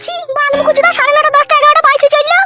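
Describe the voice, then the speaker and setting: A performer voicing a sharp-tongued, scolding young village wife in a comedy cartoon, with a rural West Bengal accent. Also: extremely high pitch, level tone, very fast, faint music behind. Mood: angry, grumbling. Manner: scolding, foul-mouthed.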